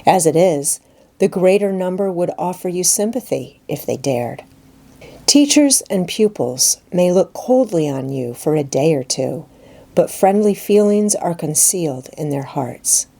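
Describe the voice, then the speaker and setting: A woman voicing a calm, pious schoolgirl friend in a storybook reading, measured, earnest and gently reproving. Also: on the low side. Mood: calm, reassuring. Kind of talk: dramatised audiobook reading.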